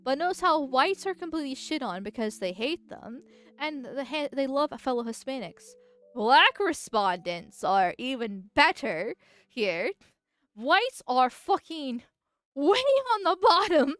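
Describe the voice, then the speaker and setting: A woman's voice: high voice, very animated, a little slow, fairly bright tone. A woman streamer with a nasal voice, chatting casually in a rambling, giggly way with frequent filler words. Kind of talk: casual livestream chat.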